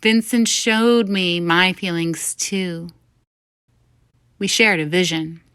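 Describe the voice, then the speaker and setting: A woman narrates a scripted short story in the first person. Her voice is slightly low, clear and forward, captured very dry and close. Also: steady tone, slow, fairly bright tone, no room sound. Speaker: story reader.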